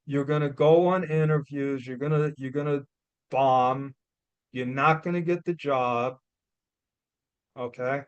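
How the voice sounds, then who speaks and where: A man with a New York accent giving a loud, blunt, emphatic motivational talk as a guest speaker. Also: a little high, dry recording, muffled recording.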